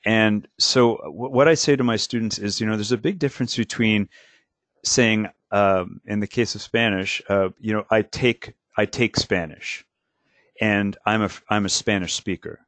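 Teacher guy on a video panel, low voice, stop-start with lots of ums, dry sound. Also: fairly bright tone, no echo. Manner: halting, many restarts and fillers. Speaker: language teacher, panel co-host. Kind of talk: casual panel discussion.

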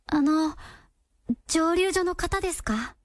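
Radio drama heroine: young, sincere, courteous and tentative, strikingly high pitch. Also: female voice, slow pace, no room sound. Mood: polite, hesitant. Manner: polite, hesitant. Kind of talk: Japanese radio drama acting.

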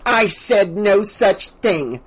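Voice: medium pitch, a little slow, a bit bright, breathy edge. A narrator voicing a spiteful, scheming woman villain in an audiobook, with an imperious, theatrical manner. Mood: indignant.

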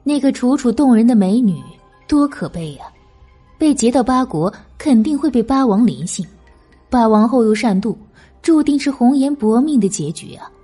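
A woman reads a historical novel with expressive, literary phrasing. Her voice sits fairly high and moves through animated intonation. The tone is mellow rather than bright, suited to dramatic storytelling. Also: normal speed, breathy edge, soft background music. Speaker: audiobook narrator.

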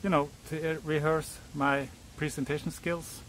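A man in his forties from Norway, speaking English with a Norwegian accent, explaining a hobby project in a halting, self-correcting way. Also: on the high side, level tone, fairly bright tone, slight background noise, poor audio. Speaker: hobbyist YouTuber. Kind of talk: casual DIY explainer. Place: outdoors on a hilltop, then indoors at a workbench.

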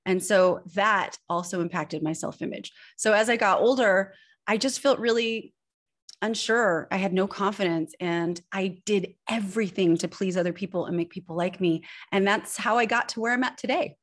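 An American woman in her thirties with a mid-pitched voice talks as a guest on a video-call interview. She tells personal stories in a candid, expressive, conversational way. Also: moderate pace. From Northern California, United States. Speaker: guest, personal branding image expert. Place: indoor room at home. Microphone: video call microphone.

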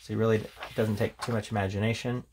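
A man giving a casual, unscripted walkthrough as a tabletop game reviewer, talking in a rambling, halting way with casual enthusiasm. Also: lowish voice. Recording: steady background noise, handling clicks.

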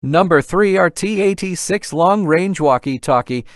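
Higher-pitched man, scripted product narration. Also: expressive, measured pace, no echo, studio quality.

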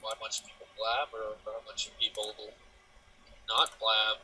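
A man's voice: on the low side, little pitch movement, moderate pace, bright, forceful tone. A young man joining a strategy game session, teasing his friend and rambling casually. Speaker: gaming friend. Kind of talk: casual gaming chat. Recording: distant mic with clear noise.

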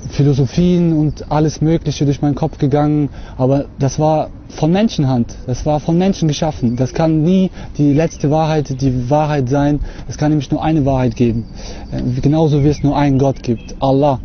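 A young man from Russia talking to camera outdoors on a lawn, earnest and hesitant, filling pauses often. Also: fairly high pitch, steady tone, lo-fi sound, slight background noise. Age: young adult. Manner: hesitant, many fillers, earnest. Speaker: convert giving a testimony. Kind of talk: unscripted talk to camera.

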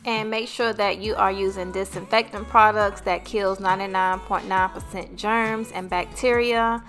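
Bright-voiced American woman narrating over music. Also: medium pitch, steady tone, moderate pace. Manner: chatty, informal. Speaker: host of a cleaning vlog. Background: background music.

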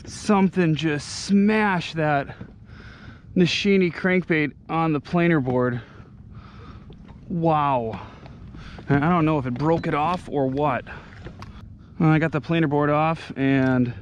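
A man's voice: on the high side, slow pace, long pauses, slight background noise. A man in his thirties giving casual running remarks for a fishing vlog, recorded outdoors on an action camera close by. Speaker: fishing vlogger. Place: outdoors on a kayak on open water and on a beach.

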